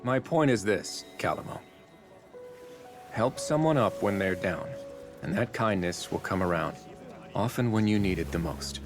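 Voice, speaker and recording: A confident warrior of a bird people in a video game, speaks low with lively inflection. He is wry and steadfast, teasing yet assured, over a musical score. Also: a little slow, pauses often, over music. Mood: earnest.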